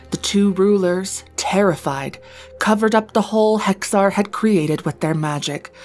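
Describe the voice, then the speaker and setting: Woman, slightly low voice, scripted story narration, background music. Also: darkish tone.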